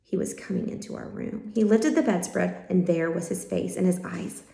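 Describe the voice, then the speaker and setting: A woman reading a children's story aloud in expressive storybook narration. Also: lowish voice, moderate pace, creaky. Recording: roomy, echoing home-style recording.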